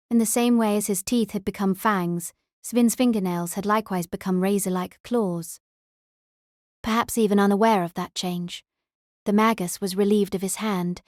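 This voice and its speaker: A woman's voice: medium pitch, a little mellow, dry recording, clean studio sound. A woman reading scripted audiobook narration of a story as its narrator.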